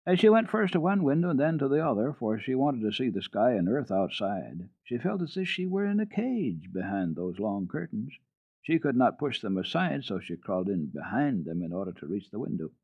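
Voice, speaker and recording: A man telling a children's story on a radio program in a dramatic, deliberate reading with expressive pauses. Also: medium pitch, dry recording. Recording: tinny band-limited archival radio recording.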